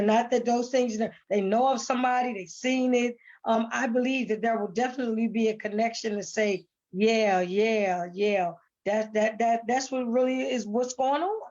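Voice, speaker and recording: An American woman with a fairly high voice speaks casually as a guest on a video call. She is earnest and repeats herself for emphasis. The sound is somewhat dull. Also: a little mellow. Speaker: stage play cast member as guest. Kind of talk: casual conversational interview. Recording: dull online call audio.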